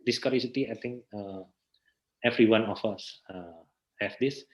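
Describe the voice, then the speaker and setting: A man with an Indonesian accent speaks as a guest in a casual interview. His voice sits on the low side. He builds sentences haltingly, repeating words before moving on. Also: low-quality recording. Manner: halting, repeats words, non-native English. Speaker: interview guest, theater researcher and actor.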